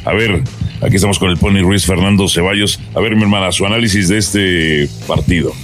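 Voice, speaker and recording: Deep-voiced man hosting football talk. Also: a little fast, fairly bright tone. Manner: informal, colloquial. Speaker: sports talk show host. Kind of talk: football panel discussion.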